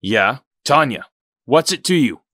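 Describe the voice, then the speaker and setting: A man acting as a graying rebel soldier in an audio drama, bitter and quick to anger. He is gruff, blunt and distrustful, with a medium-pitched voice in a dry recording. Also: expressive, pushed and bright, no echo. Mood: bitter, sullen.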